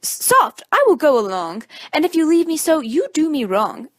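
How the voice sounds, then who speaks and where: Woman as a high-voiced, earnest young male cousin. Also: very animated, normal speed, dry recording, clean studio sound. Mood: sympathetic. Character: concerned, level-headed young male cousin and confidant. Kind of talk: amateur dramatic reading of Shakespeare.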